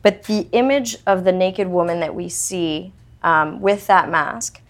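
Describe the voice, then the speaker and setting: Woman interview host, gravelly edge, measured and probing. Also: on the low side. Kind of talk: interview questions.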